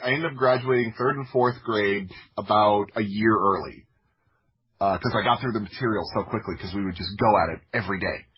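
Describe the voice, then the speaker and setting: Husky-voiced man telling stories casually. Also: lowish voice, lo-fi sound. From United States (Florida). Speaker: podcast guest, audio drama creator. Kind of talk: casual personal storytelling.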